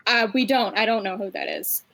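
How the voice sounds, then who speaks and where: A woman's voice: a little high, a little fast, bright, forceful tone. A woman chatting casually while steering a group through a live comic dub. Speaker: participant directing a group dub.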